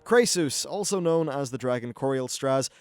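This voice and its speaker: A man's voice: mid-range voice, expressive, moderate pace, clear, resonant tone, dry recording. A man giving casual, informal let's-play commentary on an online fantasy game, mildly sweary as he reacts to what unfolds. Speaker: gaming YouTuber.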